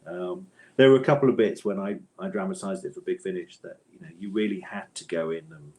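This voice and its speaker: A man's voice: deep voice, lively intonation, brisk, darkish tone. A man answering interview questions conversationally as a guest author, with a thoughtful, hesitant manner and bookish phrasing.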